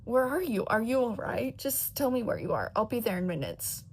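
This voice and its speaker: A woman's voice: on the high side, very animated, normal speed, slight background noise. A woman voicing an anguished husband in a story, urgent and pleading. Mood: frantic worry. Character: anguished, frantic husband, a grown man.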